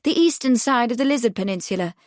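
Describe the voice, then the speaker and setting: Woman reads eager upper-class young woman, brisk and insistent, storybook, dry booth. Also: a little high, expressive, darkish tone, no echo, pitched above the performer's own voice, lightly acted. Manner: brisk, emphatic, persuasive.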